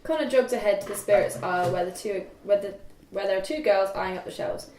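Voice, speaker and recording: A woman's voice: mid-range voice, brisk, a little mellow. A young woman reading scripted stage directions aloud at a table read. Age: young adult. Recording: roomy, distant mic, faint noise.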